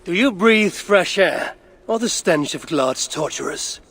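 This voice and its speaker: An actor playing a commanding one-eyed god-warrior in a video game, curt, imperious and dryly mocking. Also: male voice, high-pitched, lively intonation, measured pace, fairly bright tone, exaggerated voice. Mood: dry banter. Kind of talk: video game cutscene acting.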